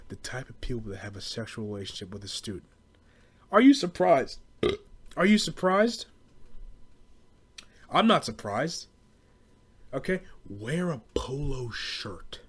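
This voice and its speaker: A man's voice: medium pitch, expressive, slow, long pauses, slightly rough. A young American man rambling through a casual, profane comedic rant as a podcast host, in slangy speech. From southeastern Pennsylvania, US.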